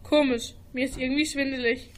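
A woman's voice: high voice, fairly even intonation, a little fast, lightly acted. A teenage girl acting an inquisitive, slightly bossy schoolgirl in an amateur radio play. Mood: puzzled, excited. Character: schoolgirl, inquisitive puzzle-solver, a bit bossy.

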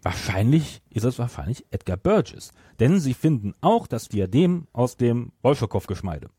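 A man's voice: medium pitch, very animated, moderate pace, warm tone, slightly rough, no echo, studio quality. A man hosting a podcast, retelling a story from notes and at times searching for words. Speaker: podcast host.

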